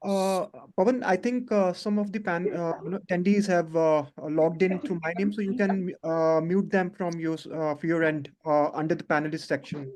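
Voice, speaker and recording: A webinar colleague giving casual instructions in a halting way over an online call. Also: mid-range voice, fairly even intonation, a little mellow.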